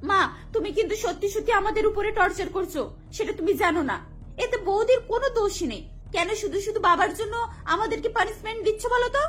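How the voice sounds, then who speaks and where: A woman's voice: very high voice, expressive, fairly quick, fairly bright tone, voice pushed up. A woman voicing a fed-up young sister-in-law in a cartoon, complaining and pleading. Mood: exasperated protest.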